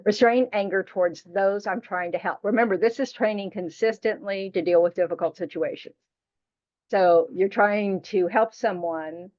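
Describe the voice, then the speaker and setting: A woman's voice: mid-range voice, moderate pace, darkish tone. A woman teaches a small class in an easy, talkative way, frank and teasing, leaning on "you know" as she goes. Her voice sits in a middle range. The recording is muffled and lo-fi. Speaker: Buddhist teacher. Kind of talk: casual dharma teaching to a class.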